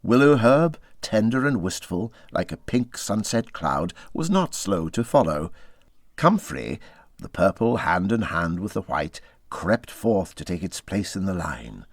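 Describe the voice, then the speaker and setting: A man reading a classic story aloud as an expressive audiobook narrator. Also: sits low, a little slow, no echo, studio quality.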